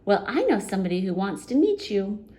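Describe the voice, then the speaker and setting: A woman voicing a cheeky little male goblin who teases gleefully in a high, quick voice. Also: big pitch swings, dark tone, natural voice. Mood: teasing.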